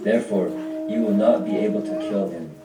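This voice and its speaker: A man playing a grave, kindly celestial sage in a devotional puppet show, speaking solemnly and deliberately. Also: fairly low pitch, natural voice. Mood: solemn, protective. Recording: roomy, distant mic, loud music behind.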